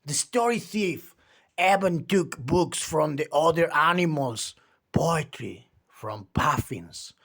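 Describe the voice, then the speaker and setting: A male storyteller reads aloud for young children. His pace is very unhurried and his pitch swings widely, with theatrical stress that draws out important words. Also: expressive, a bit bright. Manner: theatrical, slow, drawn-out emphasis. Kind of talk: expressive picture-book read-aloud for young children.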